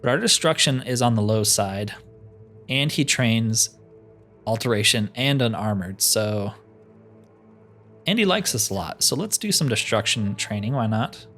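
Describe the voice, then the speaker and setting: A man's voice: fairly low pitch, lively intonation, measured pace, fairly bright tone, soft background music. A man giving casual, easygoing game commentary in a chatty, slangy way. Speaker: gaming host playing a roleplay playthrough.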